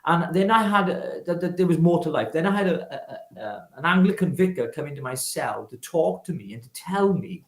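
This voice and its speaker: A man's voice: fairly high pitch, expressive, fairly quick, few pauses, roomy sound. A Welshman in his fifties, a guest telling his life story in an earnest, personal way with many restarts. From Wales. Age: 50s. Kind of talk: casual interview talk.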